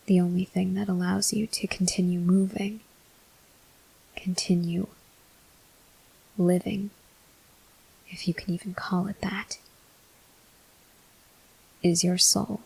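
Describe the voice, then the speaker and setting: A woman voicing a brooding, weary male vampire soldier in an ASMR roleplay, in a breathy, husky whisper with slow, grave delivery. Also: fairly low pitch, flat delivery, darkish tone, exaggerated voice, rough recording. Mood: bleak, brooding.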